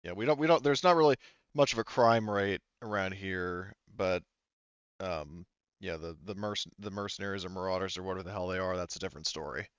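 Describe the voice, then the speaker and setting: A man plays a welcoming, practical sheriff in a tabletop roleplaying game. He talks in a halting, informal, matter-of-fact way, in a lowish, clear voice with a very dry sound. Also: dry recording, dull audio, natural voice. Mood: matter-of-fact, briefing. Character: welcoming, practical male sheriff briefing a new recruit.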